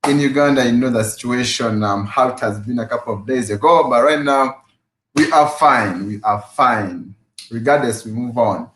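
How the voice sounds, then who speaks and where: A man's voice: mid-range voice, normal speed, a bit bright, a little hiss or hum. A man hosting a casual fitness live stream, greeting viewers by name and repeating his phrases with energy. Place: his room at home after work. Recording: echoey room.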